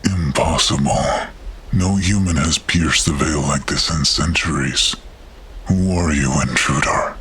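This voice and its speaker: Male actor as monstrous guardian wolf, very husky, slow, suspicious, formal, fantasy audio drama. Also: rumbling low, a bit bright, exaggerated voice. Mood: suspicious, stern. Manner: slow, menacing, formal questioning.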